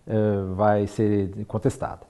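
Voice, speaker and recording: A man's voice: on the low side, completely flat delivery, slow pace, dark tone, clean tone, faint music behind. A man explaining a subject as an expert in an interview.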